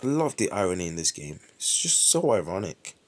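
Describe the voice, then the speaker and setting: Young british male streamer, low-pitched, animated delivery, feeling ill, chatty and prone to excited shouts. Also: fairly quick, breathy edge. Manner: chatty, excitable outbursts, muttering to himself. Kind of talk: live gameplay commentary.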